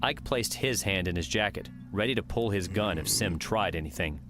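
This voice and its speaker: Low-voiced man narrating an audiobook. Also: lively intonation, moderate pace, over music. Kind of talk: scripted fiction narration.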